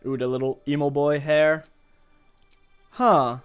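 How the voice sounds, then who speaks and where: A man's voice: on the high side, flat delivery, takes it slowly, smooth. A man from Montreal, a native French speaker with a Quebec French accent, chats casually in English as he streams a game, rambling and hesitant as he thinks aloud. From Montreal, Canada. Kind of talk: casual live gameplay chat. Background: music.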